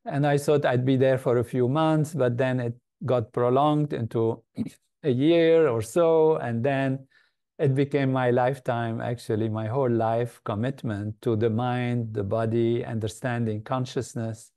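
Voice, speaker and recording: Older man from Lebanon, interview guest, thoughtful and measured, warm low-key tone. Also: fairly even intonation, smooth. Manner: measured, thoughtful, with frequent pauses and fillers. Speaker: guest, meditation organization leader. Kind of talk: reflective interview answers.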